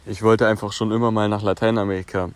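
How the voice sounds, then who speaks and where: Junger Mann mit tiefer Stimme. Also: male voice, fairly low pitch, little pitch movement, fast, clear, resonant tone, no room sound. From Berlin, Germany. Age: teens. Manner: earnest, reads from a script. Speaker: young volunteer. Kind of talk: read-out self-introduction.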